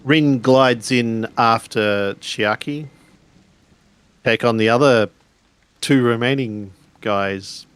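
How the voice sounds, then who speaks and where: A man's voice: medium pitch, takes it slowly, a bit bright, smooth. A man casually narrating his character's actions in a tabletop game, hesitating as he searches for words. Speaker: player in a tabletop roleplaying game.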